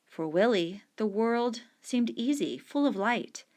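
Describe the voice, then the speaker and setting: A woman reading a short story aloud in a steady, unhurried storytelling manner. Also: on the high side. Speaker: story reader.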